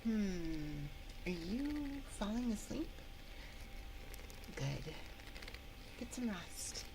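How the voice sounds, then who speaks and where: Woman doing a friendly possessive under-bed monster, asmr roleplay, soft coaxing whispery, really slow and creaky, close binaural mic. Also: mid-range voice, sing-song, background noise, pitched above the performer's own voice, full character voice. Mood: soothing. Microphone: binaural 3Dio microphone, close up.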